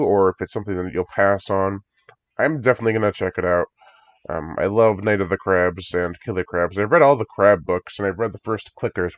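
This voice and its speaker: A man's voice: sits low, dry recording. A man with a congested voice from a recent cold, chatting eagerly and rambling as he reacts to a horror movie trailer. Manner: enthusiastic, rambling, chuckles often. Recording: dry, dull lo-fi home recording.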